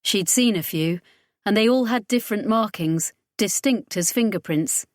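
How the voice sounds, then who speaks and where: A woman's voice: medium pitch, normal speed, no room sound, studio quality. A woman reading scripted fiction as an audiobook narrator.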